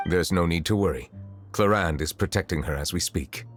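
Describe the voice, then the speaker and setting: A man as a deep, solemn chief justice. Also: over music, close to an everyday voice. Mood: calm, courteous. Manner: formal, measured, grave. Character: solemn, courteous, dignified male chief justice. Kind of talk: video game character acting.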